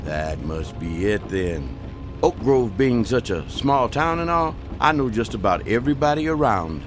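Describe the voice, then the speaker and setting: An actor playing a chatty, folksy, prying small-town cab driver in a radio drama. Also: male voice, fairly low pitch, big pitch swings, measured pace, music in the background. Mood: chatty, nosy.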